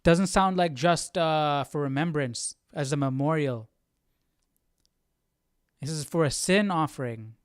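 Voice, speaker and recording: A man's voice: a little high, unhurried, long pauses, clean tone, no echo, studio quality. A man hosting a live study stream, talking casually and thinking aloud in a hesitant, musing way as he searches for words.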